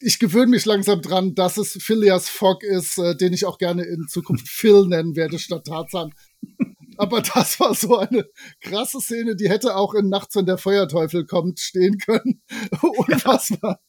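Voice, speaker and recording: A man's voice: high-pitched, a little slow, a little mellow. A man co-hosting a podcast, casually reviewing an audio drama in a colloquial, wry way. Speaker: podcast co-host.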